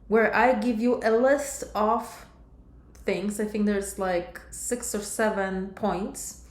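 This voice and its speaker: A woman's voice: on the high side, echoey room. A middle-aged woman from Poland with a Polish accent, a life coach talking directly to her viewers and calling them sister and my love. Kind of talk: coaching monologue to camera.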